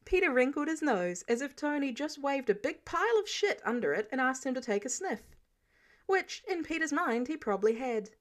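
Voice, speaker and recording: A woman reading fiction aloud as an expressive narrator. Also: sits high, moderate pace. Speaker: amateur audiobook narrator.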